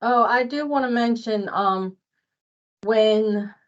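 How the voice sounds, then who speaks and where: A woman's voice: a little high, slow. Woman presenter, dull lo-fi audio. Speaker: presenter on billing and invoicing at a staff training. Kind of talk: informal spoken training explanation.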